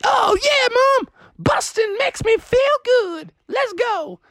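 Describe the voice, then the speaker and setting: A man voicing an excitable family of cartoonish storybook frogs, shrill, exclaiming and theatrical. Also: unhurried, no echo, studio quality, exaggerated voice. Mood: excited. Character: excitable squeaky frog family out trick-or-treating as ghostbusters.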